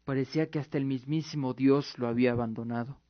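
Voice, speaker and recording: A man's voice: mid-range voice, steady tone, quick pace, no echo. A man giving dramatic scripted narration as the narrator of an audio drama. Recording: dull lo-fi recording with music. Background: music.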